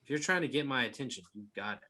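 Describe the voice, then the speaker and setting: A man acts out a husband in a screenplay read. He is placating and wry, smooth-talking with a sly flirtatious streak, in a medium-pitched voice. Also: expressive, very fast, bright, forceful tone.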